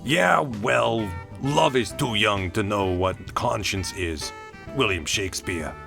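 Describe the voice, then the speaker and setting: A man voicing a gruff, skeptical government agent in a comic dub, blunt and slangy. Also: fairly low pitch, takes it slowly, over music. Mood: wry.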